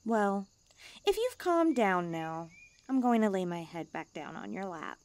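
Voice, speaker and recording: A woman's voice: medium pitch, a little mellow, dry recording. A woman voicing a cute, self-important young stray catgirl in a languid ASMR roleplay. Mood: breezy, smug, then tired, self-important. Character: cute, smug, pampered, drowsy young female stray catgirl.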